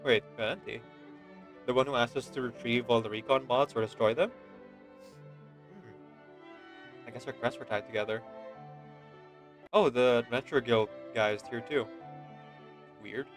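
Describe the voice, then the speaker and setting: A man's voice: mid-range voice, unhurried, long pauses, soft background music. A man giving casual gameplay commentary as a streamer, musing aloud as he plays. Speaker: game streamer.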